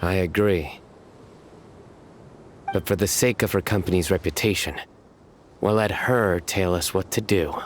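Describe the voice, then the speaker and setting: A man voicing a solemn, stoic young desert guardian with a measured, deadpan manner in a video game. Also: deep voice, a little hiss or hum. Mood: calm, serious.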